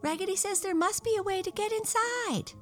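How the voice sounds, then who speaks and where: A woman voicing eager, excitable little dolls who exclaim and echo in a children's storybook. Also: very high voice, brisk, faint music behind, pitched above the performer's own voice. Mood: excited.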